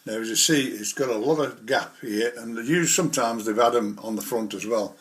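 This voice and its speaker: A man's voice: mid-range voice, fairly quick, fairly bright tone. Guy doing a hobby how-to, mid voice, rambly, walking you through it step by step. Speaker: model railway hobbyist presenter. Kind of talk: informal hands-on tutorial.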